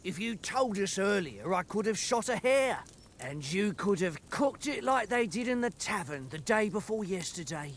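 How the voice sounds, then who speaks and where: A brash, rowdy soldier, high and swinging in pitch, boasts about wine and wenches. A faint low rumble hangs underneath. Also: male voice, animated, moderate pace, a bit bright. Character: boisterous soldier, fond of drink and women.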